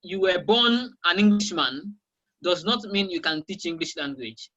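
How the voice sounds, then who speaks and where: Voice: medium pitch, expressive, unhurried, pushed and bright, muffled recording. A teacher from Nigeria with a Nigerian accent, a Yoruba speaker, answering interview questions emphatically and repeating key phrases over a video call. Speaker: guest teacher of English.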